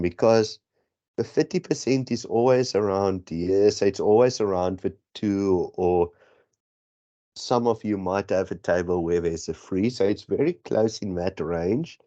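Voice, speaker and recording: A man's voice: fairly low pitch, mellow. A man with a South African accent whose first language is Afrikaans, tutoring statistics for an exam in a rambling, self-correcting way, on dull lo-fi audio. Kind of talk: exam prep lesson.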